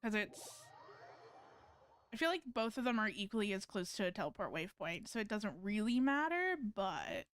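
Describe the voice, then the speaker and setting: A woman's voice: mid-range voice, very animated, moderate pace, a bit bright, clean tone, dry recording. A woman giving casual live-stream commentary on a game, rambling and thinking aloud as she plays.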